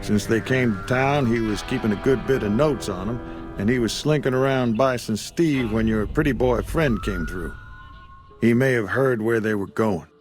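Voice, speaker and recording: A man's voice: medium pitch, moderate pace, music in the background, natural voice. A man voicing a weary old frontier shopkeeper who talks in a drawl. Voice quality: gravelly drawl. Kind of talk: video game dialogue.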